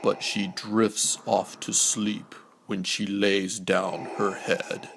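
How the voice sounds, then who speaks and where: A man's voice: on the low side, little pitch movement, slow, gravelly. A man reading a children's bedtime story as a scripted narrator, on a noisy recording.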